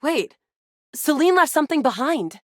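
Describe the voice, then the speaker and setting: A woman voicing a feisty, excitable young resistance fighter in a sci-fi video game, with a dramatic, playful delivery. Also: high-pitched, normal speed, dry recording, clean studio sound. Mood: excited, earnest. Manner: animated, dramatic, playful banter. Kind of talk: video game character voice acting.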